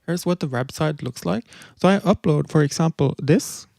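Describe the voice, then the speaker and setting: A man's voice: a little high, moderate pace, gravelly, darkish tone, no room sound. Man presenting, dry close sound. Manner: loose explaining with filler words and restarts. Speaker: presenter demonstrating music tools. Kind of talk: casual livestream presentation.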